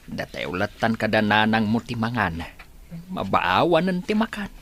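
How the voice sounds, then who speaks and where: A man's voice: on the low side, big pitch swings, moderate pace, a bit bright. A man with an Ilocano accent playing a considerate father of grown children in an audio drama. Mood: caring.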